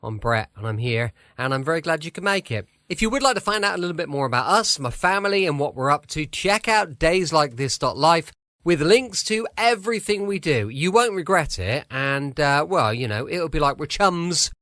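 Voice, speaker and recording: An English man hosts a podcast in a chatty, welcoming way. His voice sits a little high and swings expressively up and down. The sound is dry, with the informal cosiness of a small home studio. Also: expressive, normal speed, pushed and bright, no echo. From southwest United Kingdom. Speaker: podcast host.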